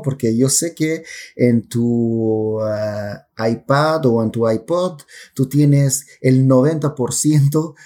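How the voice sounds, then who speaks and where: A man from Peru with a Peruvian Amazonian accent, hosting a podcast for Spanish learners in a welcoming, measured way. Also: mid-range voice, dark tone, breathy edge. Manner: welcoming, measured, with some pauses and fillers. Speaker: podcast host.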